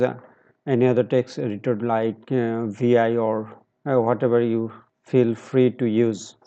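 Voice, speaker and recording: Slow, steady man walking through a tutorial. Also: mid-range voice, lo-fi sound. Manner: slow, step-by-step instructions with pauses and fillers. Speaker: tutorial presenter. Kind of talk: screen-recorded tech tutorial.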